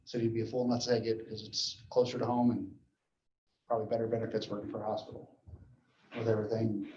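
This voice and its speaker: A man giving an informal report at a meeting, speaking haltingly and often clearing his throat. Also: fairly low pitch, level tone, a little mellow, a little hiss or hum. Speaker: dispatch director reporting to board. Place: indoor meeting room. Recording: muffled, echoey meeting room recording.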